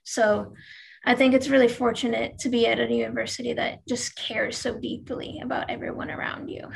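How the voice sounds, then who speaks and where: Husky-voiced young woman from Louisiana. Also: fairly high pitch, flat delivery, normal speed, slight background noise, poor audio. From Louisiana, USA. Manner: earnest and friendly, with frequent fillers and restarts. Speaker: guest, athletic trainer. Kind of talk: interview.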